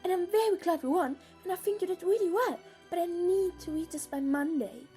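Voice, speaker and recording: A woman's voice: very high voice, brisk, mellow, faint music behind. A woman playing an earnest, stressed teenage schoolgirl in an audio drama. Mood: stressed, upset.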